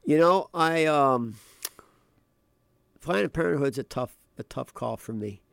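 A man with a raspy voice, sick with a cold, co-hosting a casual political podcast and joking and hesitating as he talks. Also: on the high side, lively intonation, takes it slowly, a bit bright, dry recording, clean studio sound. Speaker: podcast co-host.

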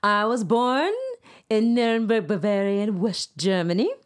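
An American woman in her forties chatting casually as a podcast guest, exclamatory and animated in how she talks. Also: medium pitch, normal speed, clean tone. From United States, grew up in Houston and Orlando. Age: 40s. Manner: animated, exclamatory, acts out imagined lines. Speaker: podcast guest, stage actor. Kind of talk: casual chat.

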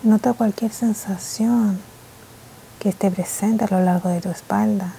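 A woman meditation guide speaks in a very dark, soft tone with a creaky edge. She delivers scripted instructions slowly, in short phrases with gentle pauses. Noticeable ambient noise sits behind her voice. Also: mid-range voice, fairly even intonation.

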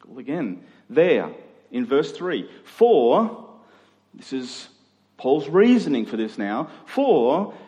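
A man preaching a sermon as a pastor, swinging between emphatic and hushed delivery with rhetorical questions. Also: mid-range voice, sing-song, takes it slowly. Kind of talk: sermon to a congregation. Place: large reverberant church hall. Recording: long hall reverb.

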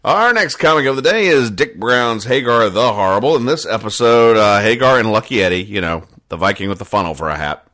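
A man hosting a casual comedy podcast, retelling comic strips in a halting, conversational way and explaining the jokes with mock earnestness. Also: fairly low pitch, expressive, bright, forceful tone. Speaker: podcast host.

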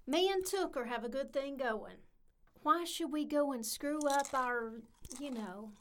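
A woman's voice: high voice, measured pace, slight background noise. A woman playing a brash, defensive, working-class young woman in a comedic audio drama, slangy and blunt. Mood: scornful, defensive.

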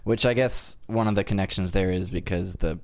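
A man with a deep voice, speaking as a podcast co-host. His delivery is conversational and matter-of-fact, a little tentative as he adds information. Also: fairly quick. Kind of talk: casual discussion.

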